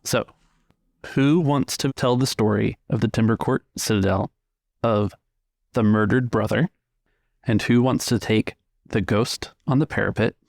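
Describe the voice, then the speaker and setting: A man with a lowish, creaky voice casually runs a tabletop game, setting out choices for the players. The recording is dry, without room sound. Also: measured pace, pauses often, dry recording. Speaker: game facilitator.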